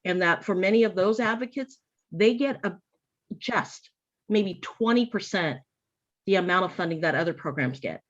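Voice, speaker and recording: A woman's voice: mid-range voice, expressive, moderate pace. Earnest woman with muffled lo-fi sound. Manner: earnest, thoughtful, with frequent restarts. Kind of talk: panel discussion.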